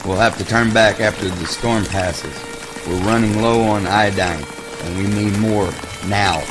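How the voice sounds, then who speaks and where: A man acts out a practical soldier who dutifully backs up his commander. His voice is slightly low. Music plays clearly under the audio drama. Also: steady tone, a little slow, close to an everyday voice.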